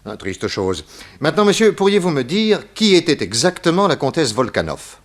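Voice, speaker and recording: An actor playing a composed, inquisitive, matter-of-fact private detective in a 1960s French radio drama. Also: male voice, fairly high pitch, sing-song, moderate pace. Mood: polite, dry. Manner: matter-of-fact, probing, dry.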